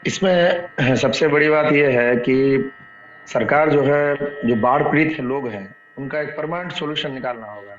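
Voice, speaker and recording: An echoey, dull online-call recording with murmuring voices behind. A Bihari-accented man with a slightly high voice speaks off the cuff on local issues. He often repeats himself and searches for words. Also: a crowd in the background. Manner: halting, repetitive, searching for words. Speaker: local activist guest in online debate. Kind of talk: extempore discussion of local issues.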